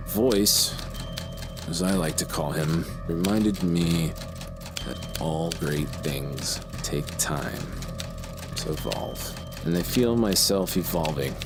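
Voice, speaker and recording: An actor playing a brooding, grandiose serial strangler, speaking in deliberate theatrical monologue for an audio drama. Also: male voice, deep voice, over music. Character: menacing, brooding male serial strangler, self-absorbed killer.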